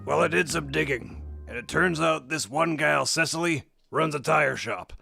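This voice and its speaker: Comedy clown detective sidekick, male actor: eager ex-cop, heavily creaky, bright and forceful. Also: on the high side, moderate pace, faint music behind, heavily acted. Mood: conspiratorial.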